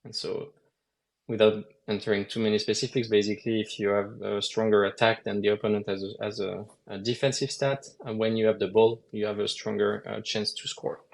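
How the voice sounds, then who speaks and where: Male game designer, lowish gravelly voice, methodical explainer who pauses to find words. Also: flat delivery. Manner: measured, explanatory, frequent hesitations. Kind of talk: explaining game mechanics in a live Q&A.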